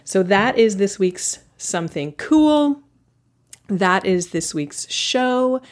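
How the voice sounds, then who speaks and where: A woman's voice: medium pitch, expressive, slow. A woman hosting a podcast, talking in a casual, enthusiastic way and laughing often. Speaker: podcast host.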